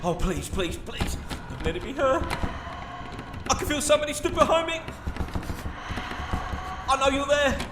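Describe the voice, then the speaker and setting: A man playing a frightened, excitable paranormal investigator presenting live TV in an audio drama. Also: very high voice, moderate pace, pauses often, reverberant, noisy background. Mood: terrified pleading. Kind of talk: full-cast audio drama acting.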